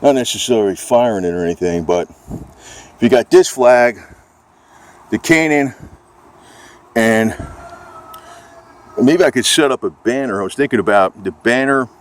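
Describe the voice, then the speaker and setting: A man's voice: mid-range voice, animated, measured pace, pauses often. An American man chatting casually off the cuff in a rambling, informal, folksy way. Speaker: vlogger.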